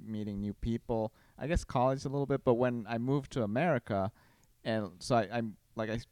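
A man's voice: mid-range voice, brisk, darkish tone, smooth, no room sound. A man from Costa Rica hosting a podcast in casual conversation. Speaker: podcast host.

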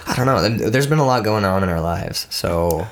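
A man's voice: on the low side, expressive, fairly quick. Congested young man telling a gross story. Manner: rambling, laughing storyteller. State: congested from a cold. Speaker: podcast co-host. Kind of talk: casual chat.

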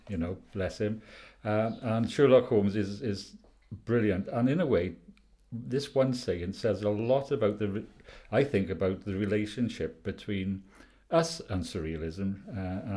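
A man's voice: fairly low pitch, normal speed, dark tone. A Welsh man interviewing a guest, speaking in a hesitant way with frequent fillers and restarts. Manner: hesitant, many uhs and restarts. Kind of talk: conversational interview.

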